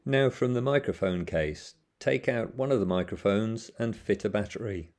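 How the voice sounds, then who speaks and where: A man with a fairly low, warm and mellow voice reads step-by-step instructions as a voice-over. He keeps his delivery plain and methodical and restarts the odd word when he stumbles. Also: moderate pace. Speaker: instructional narrator.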